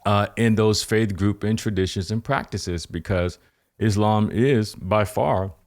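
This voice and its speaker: A man's voice: fairly low pitch, steady tone, measured pace, a little mellow. A man hosting a podcast interview, rambling through his questions with many restarts and fillers. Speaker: podcast host. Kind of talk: casual interview.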